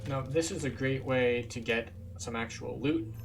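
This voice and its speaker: A man's voice: mid-range voice, normal speed. A man giving casual, explanatory commentary as he guides a game walkthrough. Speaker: gamer, tour guide. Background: music.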